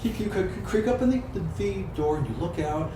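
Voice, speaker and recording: A man's voice: sits high, expressive, quick pace, warm tone. A man narrating a tabletop game as game master, informally and with casual swearing. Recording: noisy echoey room, distant mic.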